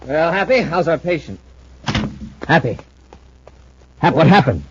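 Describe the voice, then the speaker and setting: An actor playing a brisk, authoritative space patrol commander with a crisp, decisive manner in an old-time radio serial. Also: male voice, a little high, very animated. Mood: alarmed. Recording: muffled old radio recording, faint hiss.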